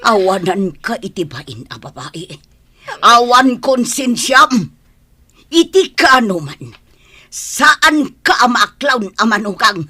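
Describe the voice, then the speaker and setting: A woman's voice: a little high, sing-song, slow pace, pushed and bright, exaggerated voice. A woman with a Filipino accent playing a middle-aged mother in a radio drama, affectionate with her son and fierce when outraged, speaking emphatically. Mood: outraged, scornful. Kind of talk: Ilocano radio drama acting.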